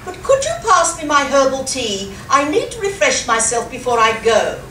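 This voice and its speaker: A woman's voice: high-pitched, sing-song, normal speed, a bit bright. Woman playing a haughty, swooping society lady, roomy sound. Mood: grudging. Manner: imperious, theatrical, gasping and stammering. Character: haughty titled society lady, easily flustered, secretly naughty. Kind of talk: live comedy radio play performance. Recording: roomy, distant live recording, faint noise.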